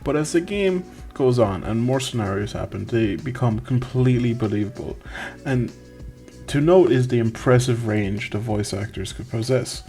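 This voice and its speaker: Male reviewer, mid-pitched, tongue-in-cheek scripted narration, music underneath. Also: moderate pace, warm tone. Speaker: video game reviewer.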